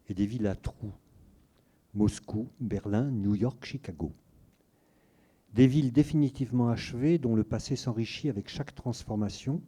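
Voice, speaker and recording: Man reader, very dark husky voice, slow deliberate delivery. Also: medium pitch. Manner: measured, deliberate reading. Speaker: reader of excerpts. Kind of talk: reading a literary passage aloud.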